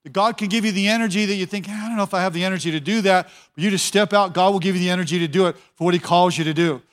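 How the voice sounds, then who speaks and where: A man's voice: high-pitched, racing. A man preaching a sermon as a pastor, talking conversationally, repeating his words and often telling listeners to watch.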